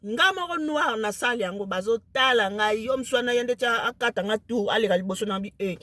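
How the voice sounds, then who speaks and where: A woman with a Lingala accent, a native Lingala speaker, talking casually to camera, emphatic and excited, switching into French. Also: fairly high pitch, a little fast. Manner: emphatic, excited, mixes French into her speech.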